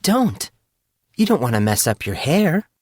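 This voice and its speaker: Man voicing a poised young woman, pitched up high. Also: big pitch swings, unhurried, darkish tone, slightly rough, dry recording, studio quality, heavily acted. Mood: defensive. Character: poised, teasing young woman celebrity in a fantasy story.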